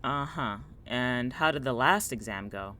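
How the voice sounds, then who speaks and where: A man playing a guarded, paranoid college student in an audio drama, with a dry, grudging deadpan delivery. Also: medium pitch, unhurried, a little hiss or hum. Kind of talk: naturalistic audio drama acting.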